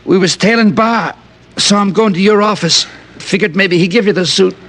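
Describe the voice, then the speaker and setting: An actor playing a wounded small-time crook in a 1950s radio crime drama, grudging, terse and slangy. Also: male voice, high-pitched, normal speed, a bit bright. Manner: terse, slangy gangster talk.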